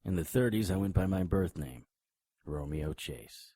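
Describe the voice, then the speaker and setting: An actor playing a brooding, world-weary hard-boiled monster hunter in a noir audio drama, with a deliberate, clipped, dramatic delivery. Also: male voice, sits low, lively intonation, over loud music. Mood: reflective.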